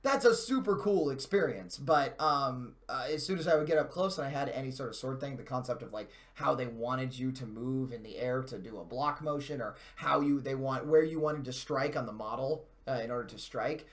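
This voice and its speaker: A man's voice: medium pitch, a little fast, few pauses. A man hosting a live stream with casual gaming commentary, talking in a chatty, self-deprecating and mildly sweary way.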